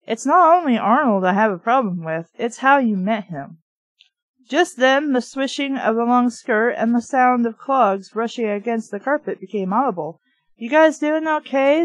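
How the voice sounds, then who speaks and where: A woman with a somewhat high-pitched voice, recorded very dry with almost no room sound. She reads and reviews a book in an informal, amateur way, speaking frankly as if chatting to viewers. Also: dry recording, rough recording. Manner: informal, frank asides, mild swearing. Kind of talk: reading a novel aloud with casual commentary.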